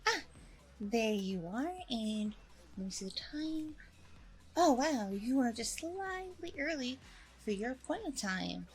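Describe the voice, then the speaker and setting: A woman's voice: a little high, very animated, takes it slowly, exaggerated voice, poor audio. A woman playing a smug, self-promoting training center owner in a chatty ASMR roleplay, with breezy, self-satisfied customer-service politeness. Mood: welcoming, businesslike. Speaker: roleplay audio performer.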